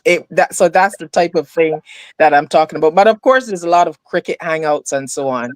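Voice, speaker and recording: A woman's voice: low-pitched, brisk, no echo. Middle-aged woman, dry close sound, thoughtful conversational guest, slightly hesitant. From Barbados. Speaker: diaspora guest. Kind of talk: conversational interview answers. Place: indoors on a video call. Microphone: video call, device close in front.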